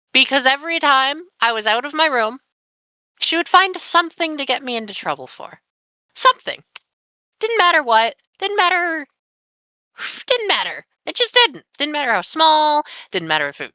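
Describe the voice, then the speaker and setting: A nineteen-year-old woman telling a painful personal story on a podcast, candid and sweary with heavy pauses. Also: high-pitched, pushed and bright, no echo. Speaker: podcast guest.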